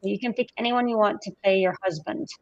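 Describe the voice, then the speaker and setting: A woman hosting a group session over a video call, gently coaxing participants with questions. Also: mid-range voice, steady tone, fast, dry recording, lo-fi sound. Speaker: host guiding a group session.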